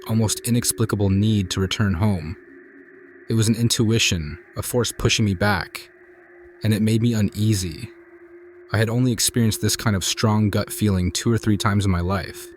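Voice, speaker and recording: A man calmly narrating true scary stories in a measured, hushed scripted style. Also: on the low side, a little hiss or hum. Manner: calm, measured, hushed storytelling. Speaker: narrator reading viewer stories. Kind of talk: scripted horror story narration.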